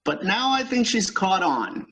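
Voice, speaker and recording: A man plays a short-tempered store supervisor in an old-time radio comedy. His voice is high for a man and swings widely in pitch. He comes across as fussy and indignant. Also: big pitch swings, a little slow, bright, forceful tone, full character voice. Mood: fussy, businesslike.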